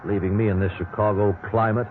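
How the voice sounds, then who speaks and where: An actor playing an easygoing middle-aged American husband who banters folksily in a 1950s radio drama. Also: male voice, on the low side, level tone, brisk, narrow, tinny audio, close to an everyday voice. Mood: affectionate, teasing. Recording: band-limited 1950s radio recording with hiss.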